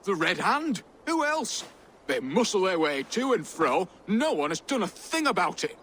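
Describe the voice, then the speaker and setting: Haughty video game priest, lively swooping intonation, indignant, defensive, faint ambient noise. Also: male voice, high voice, normal speed. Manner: indignant, blustering.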